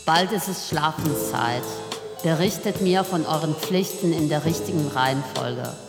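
A woman's voice: sits low, measured pace. A woman playing a domineering widow commanding her ghost husbands in a German radio play. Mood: coldly loving. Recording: echoey hall with music behind.

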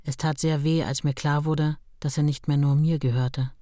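Actress as a low-voiced, plainspoken, hurt wife. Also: female voice, level tone, mellow, natural voice. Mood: sad, resigned. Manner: conversational, halting afterthoughts. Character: novelist's hurt wife looking back, plainspoken. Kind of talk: radio play, recollecting in plain speech.

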